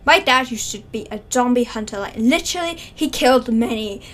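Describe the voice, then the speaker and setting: A woman voicing a stubborn, boastful young man who talks loudly and stammers as he insists. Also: fairly high pitch, lively intonation, brisk. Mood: stubborn boasting.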